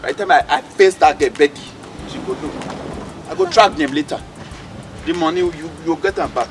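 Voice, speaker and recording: A man's voice: a little high, a little fast, a little hiss or hum, natural voice. A man playing a hot-tempered, scolding friend in a drama, with a Nigerian accent and a blustering, streetwise manner. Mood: threatening, bossy. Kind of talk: comedy drama acting in Nigerian Pidgin.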